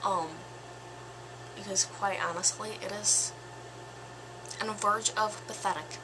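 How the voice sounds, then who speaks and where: A woman in her twenties with a slightly raspy voice, giving her opinion in a casual vlog, slow and thoughtful with pauses and fillers. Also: medium pitch, steady tone, background noise. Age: 20s. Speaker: vlogger.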